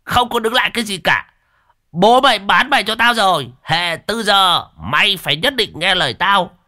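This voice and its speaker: A crude old exorcist, as the narrator plays him, coarse and teasing, jumping up high as he barks orders. His lively voice sounds bright and dry, with faint music behind it. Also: moderate pace, no room sound, full character voice. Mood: mocking. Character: old male exorcist, gruff, mocking, crude.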